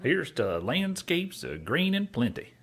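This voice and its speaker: An actor playing a simple, sentimental cowboy outlaw in a western comedy audio drama, with a husky rural Western drawl. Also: male voice, a little high, huge pitch swings, measured pace, full character voice. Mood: dreamy. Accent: rural American West. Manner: folksy, ungrammatical drawl.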